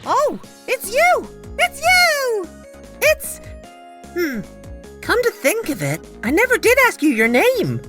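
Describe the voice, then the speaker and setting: An actor voicing an excitable, theatrical little dog in a game fandub. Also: extremely high pitch, slow pace, over music, heavily acted. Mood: surprised. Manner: theatrical, exclamatory. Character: excitable, dramatic, loyal little dog ghost pal.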